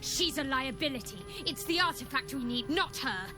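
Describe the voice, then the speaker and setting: A woman's voice: high voice, animated, normal speed, music in the background. An actress playing a guarded, cutting young adventurer woman in a video game, with a dry, wry delivery. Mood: stern, persuasive.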